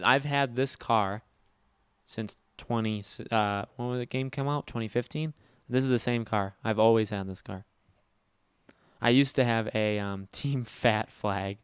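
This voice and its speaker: A man hosting a casual gaming livestream, talking fast and loud with a nasal voice and rambling as he answers chat. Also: fairly low pitch, clear, resonant tone, no echo, studio quality. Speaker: livestream host.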